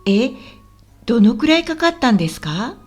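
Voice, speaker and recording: Lady acting as a friendly helpful local guide in a learner radio drama, high lilting voice, super clear and polite. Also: big pitch swings, normal speed, faint music behind. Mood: welcoming. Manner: polite, explanatory, clearly enunciated. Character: friendly, helpful woman guiding a visitor around Osaka. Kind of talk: scripted language-lesson radio drama.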